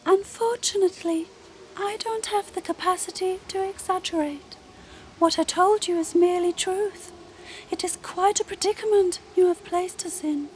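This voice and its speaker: Lady playing solemn cosmic sister, super high voice, formal n preachy, soft ambience behind, audio drama. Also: a little hiss or hum, low-quality recording. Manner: formal, measured, lecturing. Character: solemn, self-righteous female celestial sister, ancient and knowing.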